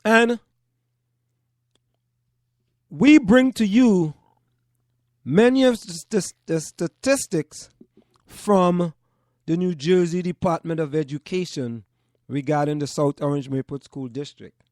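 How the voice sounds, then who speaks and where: A man's voice: a little high, a little mellow, no room sound, studio quality. Man hosting a radio talk show. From Trinidad and Tobago. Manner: measured, speaks in short broken phrases with pauses. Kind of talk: call-in radio talk monologue.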